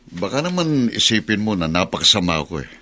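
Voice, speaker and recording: A man's voice: fairly low pitch, big pitch swings, a little fast, pushed and bright. A man playing a cold, resentful, domineering master of the house in a Tagalog radio drama, curt and commanding. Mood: stern.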